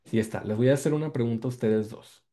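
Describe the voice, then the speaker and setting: A Mexican-accented man presenting research to an online group. His voice is dark and mellow at an ordinary pitch. He explains step by step, repeats key words and asks for agreement as he goes. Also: quick pace. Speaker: guest lecturer presenting research. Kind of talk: academic presentation on a video call. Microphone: video call microphone.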